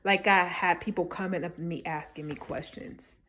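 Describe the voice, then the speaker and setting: A woman with a slightly low voice gives casual, motivational advice. She speaks in an encouraging, emphatic way, like someone coaching newcomers from her own experience. Her delivery is conversational, with small check-ins to the listener. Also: fairly even intonation, normal speed, fairly bright tone. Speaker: small-business vendor giving advice.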